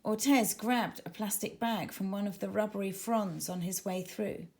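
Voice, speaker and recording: A woman reading her children's book aloud as a dramatic, suspenseful and expressive narrator. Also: mid-range voice, normal speed, vocal fry. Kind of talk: expressive scripted story narration.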